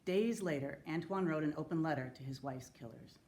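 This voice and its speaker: A woman giving a solemn, earnest and measured dramatic reading of a letter. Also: low voice, a little mellow. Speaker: actor reading historical letters.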